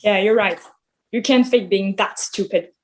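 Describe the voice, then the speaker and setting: A woman's voice: medium pitch, unhurried, natural voice. A woman playing a grumpy, sarcastic male god who runs a camp, in a theatrical musical table read, blustering and dismissive.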